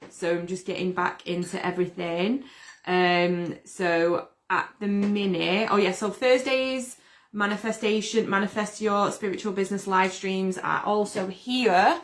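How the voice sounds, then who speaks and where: A woman hosting a casual live stream, chatty and upbeat, with a slightly croaky voice. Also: mid-range voice. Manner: chatty, upbeat, greets viewers by name. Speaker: host.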